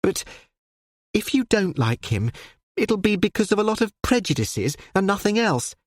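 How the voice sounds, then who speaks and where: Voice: medium pitch, big pitch swings, moderate pace, no echo, clean studio sound, voice pushed up. A reader doing a breathless, pleading young woman. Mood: urgent. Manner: breathless, urgent, emphatic.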